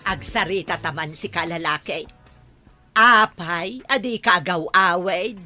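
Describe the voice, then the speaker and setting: A woman's voice: lowish voice, unhurried, bright, forceful tone, soft background music. A woman playing an indignant older village mother in a radio drama, nagging bluntly with an Ilocano accent. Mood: indignant scolding.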